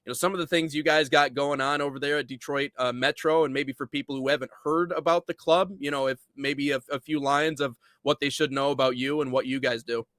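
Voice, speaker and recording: A man hosting a soccer podcast, welcoming a guest in a relaxed, loose way. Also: mid-range voice, brisk, few pauses, dry recording. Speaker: podcast host. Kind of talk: podcast interview.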